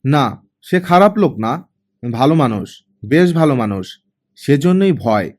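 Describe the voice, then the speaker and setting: A man's voice: mid-range voice, sing-song, normal speed, natural voice. A man voicing a stern, guarded young woman, an elder sister with a curt, admonishing manner, in an audiobook story. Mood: cautioning, serious.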